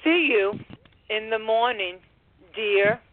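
Slow, gentle maternal mother, played by a woman. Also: fairly high pitch, phone-quality sound. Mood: tender. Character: gentle maternal mother in 1930s small town. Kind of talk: amateur radio drama acting.

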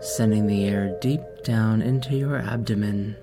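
A man narrating a guided meditation, giving gentle, soothing instructions with long pauses. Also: fairly low pitch. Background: background music.

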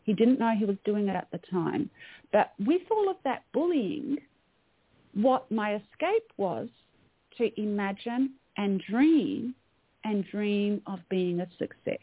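A woman's voice: mid-range voice, expressive, measured pace, breathy edge, no room sound. Australian female coach, podcast guest, dry narrow-band audio, earnest tone. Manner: earnest, reflective, frequent "um" fillers. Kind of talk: podcast interview conversation. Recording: tinny phone-like line, dry.

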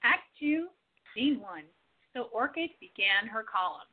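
A woman's voice: on the high side, animated, a little slow. Woman narrating a radio play. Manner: reading stage directions aloud. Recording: tinny phone-like recording.

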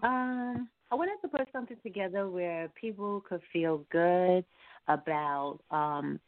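A woman with a fairly low voice talks through a thin, phone-like connection with very little room sound. She is an interview guest, speaking casually and thoughtfully, pausing as she finds her words. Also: no echo. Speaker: guest, R&B singer. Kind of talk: casual radio interview, giving advice. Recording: tinny, phone-like narrow-band sound.

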